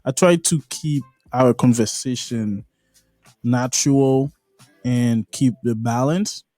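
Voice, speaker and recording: A man with a Haitian accent hosts a podcast interview, speaking slowly and earnestly, often restarting his sentences. His voice is dark and mellow. The sound is dry and close, with faint music underneath. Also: medium pitch, no room sound. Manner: earnest, halting phrasing with restarts. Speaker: podcast host. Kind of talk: casual interview.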